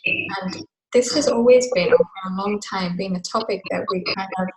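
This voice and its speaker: A woman's voice: medium pitch, moderate pace. A woman from Finland hosting a group video call, talking casually with many fillers and asides. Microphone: computer mic on a video call. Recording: echoey video call audio.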